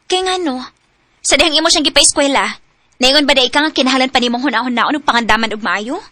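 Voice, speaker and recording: A woman's voice: sits high, fast talker, sharp tone, dry recording. An actress playing a blunt woman confidante who asks pointed questions in a radio drama. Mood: probing.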